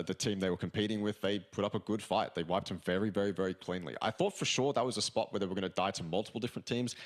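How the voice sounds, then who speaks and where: A man working as an esports commentator, reasoning aloud through conversational post-match analysis. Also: fairly low pitch. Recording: music behind the voice. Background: background music.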